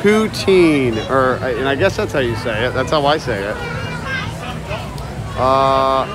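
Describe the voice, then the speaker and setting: A man talking loudly and casually to viewers as he live-streams while walking around. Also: medium pitch, slow pace. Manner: loud, chatty, talks to viewers and chat. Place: outdoors at a busy shopping area, later indoors. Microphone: phone held while walking. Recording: phone audio with crowd noise. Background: crowd chatter.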